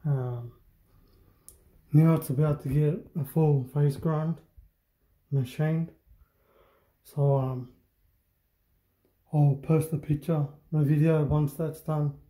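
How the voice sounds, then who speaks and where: A man, talking casually and haltingly about his workshop repair, with many pauses and ums, filmed close up on a handheld phone in an indoor workshop. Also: on the high side, steady tone, dark tone. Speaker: hobby boat mechanic. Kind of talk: casual workshop show-and-tell. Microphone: handheld phone camera, close-up.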